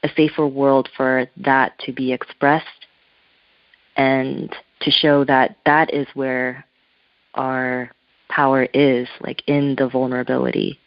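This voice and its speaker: A native Korean-speaking woman talks slowly and thoughtfully, feeling her way through each idea. Her voice is dry and close, with no room sound. It comes through a muffled telephone line. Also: rumbling low, level tone, no echo. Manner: searching, thoughtful, loose phrasing. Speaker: interview guest, spiritual artist. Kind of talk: reflective telephone interview talk.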